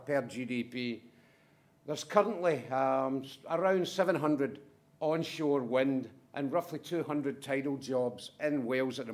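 A middle-aged man giving a conference presentation in brisk, punchy short statements with wry asides. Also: medium pitch. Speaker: conference speaker from a manufacturing firm.

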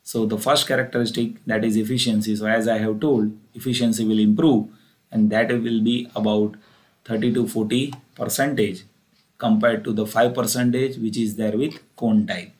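A man's voice: on the low side, steady tone, moderate pace. A man with an Indian accent giving a plain, methodical technical lecture, repeating key phrases as he explains. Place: voice-over for a screen recording.

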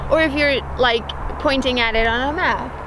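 A woman's voice: high-pitched, moderate pace, noisy background. A young woman from Iceland who grew up in Denmark, co-hosting a vlog and explaining things plainly outdoors. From Iceland, raised in Denmark. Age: young adult. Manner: explanatory, matter-of-fact. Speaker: vlog co-host. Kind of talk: casual chat to camera. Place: open field outdoors on a sunny day. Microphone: camera mic on a tripod, medium shot.

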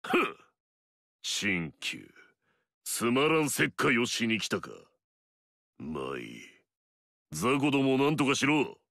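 A man's voice: medium pitch, sing-song, slow, vocal fry, pauses often, pushed and bright, no room sound, studio quality, exaggerated voice. An actor playing an arrogant, fierce male warlord in a video game, swaggering and barking battle cries.

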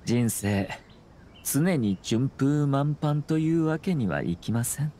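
A man's voice: mid-range voice, lively intonation, warm tone, a little hiss or hum. Composed, courteous young nobleman. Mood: calm, assured. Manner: measured, formal, polite. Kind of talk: anime game voice acting.

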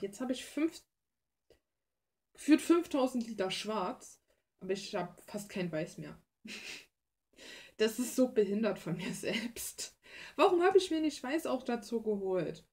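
A woman's voice: fairly high pitch, darkish tone. A young adult woman from Germany chatting casually as she works on her art, self-deprecating, blunt and slangy, recorded at a desk in a small room. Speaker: hobby artist and video creator. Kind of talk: casual rambling chat while painting.

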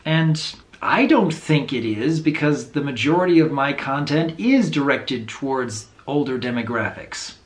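A man in his thirties with a low voice, talking earnestly to camera in a home video, thoughtful and openly unsure. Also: lively intonation, a little slow, reverberant. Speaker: video creator. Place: small room indoors at home.

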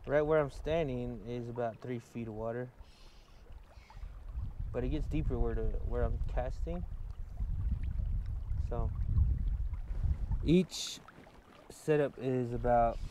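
A man's voice: medium pitch, slow pace, pauses often, noisy background. A man vlogging while fishing in a river, casually explaining his setup step by step with plenty of fillers. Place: outdoors standing in a river.

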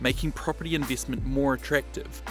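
A man reads scripted documentary narration in an even, informative way. His pitch is average. A clear music bed plays underneath. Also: brisk. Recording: voice-over with music.

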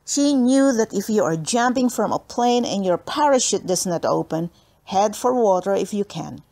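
Female storyteller, mid-pitched, expressive children's book narration. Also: moderate pace. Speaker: audiobook reader.

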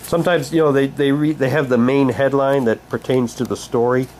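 A man's voice: mid-range voice, steady tone, fairly quick, darkish tone, a little hiss or hum. A man casually commenting and reading documents aloud as he presents an unboxing. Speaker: unboxing vlogger.

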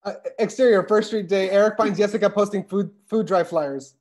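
A man's voice: sits high, brisk, darkish tone. A man in his thirties reading stage directions as scripted narration for a comedy table read, on a video call with earphones. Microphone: wired earphones on a video call.

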